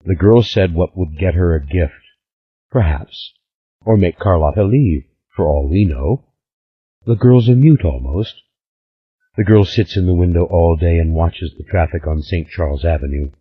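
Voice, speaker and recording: A man's voice: sits low, measured pace, breathy edge. A man voicing a blunt, stern middle-aged woman who scolds her old father in an audiobook. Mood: scolding. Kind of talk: audiobook character voice. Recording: slightly dull lo-fi recording.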